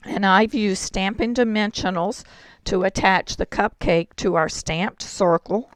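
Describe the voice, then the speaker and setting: A woman with a hoarse voice, explaining a card-making project step by step in a chatty way. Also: medium pitch, steady tone, slow, no echo. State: hoarse, throat-clearing. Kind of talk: crafting tutorial.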